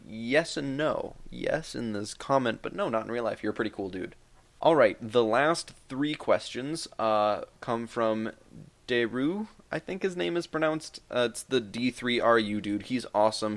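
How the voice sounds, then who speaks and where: A man's voice: mid-range voice, creaky. A man in his twenties answering viewer questions in a casual, rambling, joking vlog. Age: 20s. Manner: rambling, joking, many fillers. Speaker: YouTube gaming creator.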